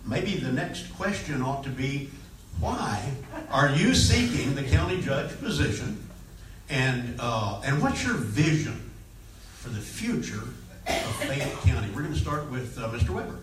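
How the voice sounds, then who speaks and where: Man moderating a forum, polite n halting, repeats himself, echoey room w bit of noise. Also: medium pitch, big pitch swings, recorded from a distance. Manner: courteous, halting, repeats words. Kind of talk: moderating a public candidate forum. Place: public meeting hall, indoors.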